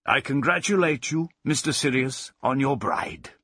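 A man's voice: mid-range voice, expressive, a little slow, pushed and bright, slightly rough, dry recording, clean studio sound, full character voice. A man voicing a jovial, teasing old farmer in an audiobook, with a hearty, jocular delivery. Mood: mirthful. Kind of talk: audiobook character voice.

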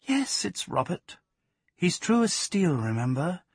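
An earnest young English woman from a storybook, as a male narrator puts her on. The voice is lifted in pitch and slightly husky, with brisk, emphatic phrasing and a tender side. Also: big pitch swings, dry recording, clean studio sound, heavily acted. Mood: reassuring.

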